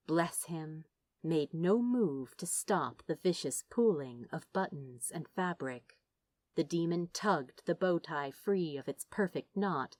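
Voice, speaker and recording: A woman reading fiction aloud as an audiobook narrator, emotive and dramatic, with pauses for effect. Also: lowish voice, dry recording. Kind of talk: expressive dramatic scripted narration.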